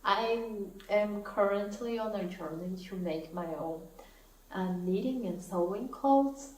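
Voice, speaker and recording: Slow, soft-spoken woman. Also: mid-range voice. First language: Portuguese. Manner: soft-spoken, thoughtful, laughs often. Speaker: knitting vlogger. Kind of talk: casual chat to camera. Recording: echoey room, mic at a distance, faint hiss.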